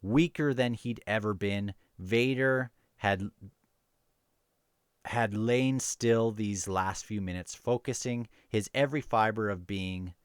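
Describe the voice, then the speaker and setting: A man hosting a casual book review, talking and reading passages aloud in a halting, self-correcting way. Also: fairly low pitch, clean tone, no room sound, clean studio sound.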